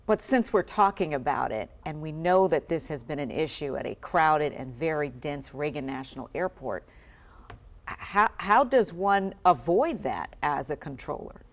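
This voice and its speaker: A woman's voice: sits low, expressive, normal speed. A woman interviewing on a TV news programme, conversational and careful in how she frames her questions.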